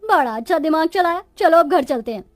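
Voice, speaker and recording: Poor village housewife for a cartoon moral tale, played by a woman: high voice, dramatic, complaining, close dry recording. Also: expressive, fairly quick, dry recording, soft background music. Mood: indignant. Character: village women of a poor family, resourceful daughters-in-law and their mother-in-law. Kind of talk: Hindi cartoon moral-story dialogue.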